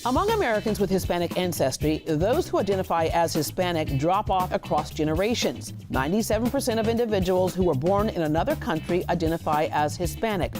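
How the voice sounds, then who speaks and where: Brief: middle-aged female TV host, slightly low voice, chatty panel moderator. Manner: conversational, laughs easily, reads statistics. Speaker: TV talk show host. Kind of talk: hosted panel discussion.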